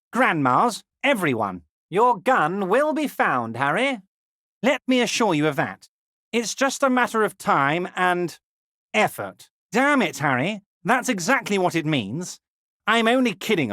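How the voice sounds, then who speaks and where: A corrupt, scheming union boss for a video game, his voice pitched unusually high for a male and swinging theatrically up and down. He is oily and patronizing, full of false friendliness, in a dry, close recording. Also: bright, forceful tone, dry recording, full character voice. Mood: falsely reassuring.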